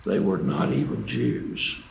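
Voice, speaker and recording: An older man tells devotional holiday stories to an audience in a folksy manner, with dramatic pauses and emphasis. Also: on the low side, animated, gravelly. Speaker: storyteller and preacher. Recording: roomy echo, faint background noise.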